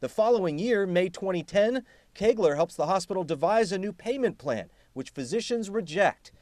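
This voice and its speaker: High-pitched male TV news reporter. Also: expressive, normal speed, a little mellow, no room sound. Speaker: television investigative news reporter. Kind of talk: scripted news report narration.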